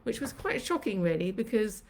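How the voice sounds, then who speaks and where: A woman in her fifties, originally from Sri Lanka, talking on a live stream from her home kitchen. She reminisces at length in a chatty, reflective way, recalling her childhood and early years. Her pitch sits in the middle range for a woman. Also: very animated, normal speed, a little mellow. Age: 50s. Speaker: host of her own channel. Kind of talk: live-streamed personal storytelling.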